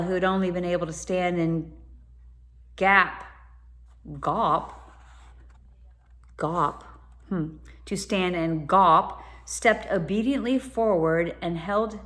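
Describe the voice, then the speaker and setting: A woman narrating a children's storybook as a teacher would, pausing to explain words to listeners. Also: fairly low pitch, a bit bright. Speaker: teacher reading aloud.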